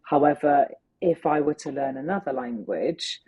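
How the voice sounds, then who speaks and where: A woman's voice: low voice, animated, moderate pace, mellow, husky, muffled recording. A woman answering interview questions as a workshop facilitator, earnest and thoughtful, talking in long flowing sentences. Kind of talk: reflective conversational interview answers.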